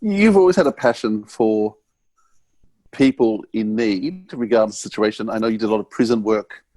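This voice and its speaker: A man hosting a conversational podcast interview, tentative and halting as he works towards each question. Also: on the low side, warm tone, dry recording. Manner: tentative, halting, self-correcting.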